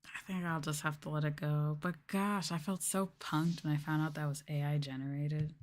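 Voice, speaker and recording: A woman streamer chatting casually in a soft-spoken ASMR style, rambling and swearing as she goes. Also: sits low, level tone, normal speed. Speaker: VTuber streamer.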